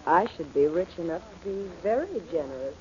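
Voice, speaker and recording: A woman's voice: lowish voice, very animated, a little fast. A woman playing a cool, mercenary, sly sister in 1940s radio style, arch and mocking. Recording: muffled old-time radio recording, faint noise.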